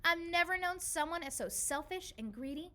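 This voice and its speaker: A woman voicing an exasperated cat owner in a children's storybook, dramatic and loud. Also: extremely high pitch, lively intonation, moderate pace, voice pushed up, heavily acted. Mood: furious. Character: exasperated pet owner scolding a naughty cat. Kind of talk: children's storybook read-aloud.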